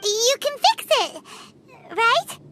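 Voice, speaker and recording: Tiny childlike female game fairy, extremely high squeaky voice, very animated, blunt, noisy background. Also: extremely slow, exaggerated voice. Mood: encouraging. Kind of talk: scripted video game dialogue.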